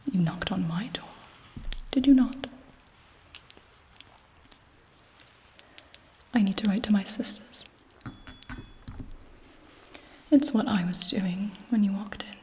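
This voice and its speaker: A woman voicing an imperious, scheming vampire queen in a breathy whisper, haughty and seductive, as an ASMR roleplay. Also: medium pitch, a little mellow, a little hiss or hum, close to an everyday voice. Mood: conspiratorial. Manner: slow, haughty, seductive, measured pauses.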